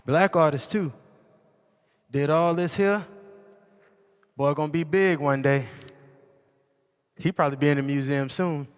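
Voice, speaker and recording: A man's voice: a little high, measured pace, long pauses, clean tone, natural voice. A man playing a proud, weary American working man in understated, casual stage dialogue. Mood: quietly proud. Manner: laconic, understated. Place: theatre stage. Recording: stage hall with long reverb.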